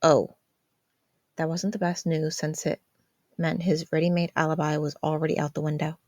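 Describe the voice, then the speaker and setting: Lowish-voiced woman narrating a story. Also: flat delivery, a little fast, mellow, no room sound. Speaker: amateur audiobook reader. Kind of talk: fan fiction story narration.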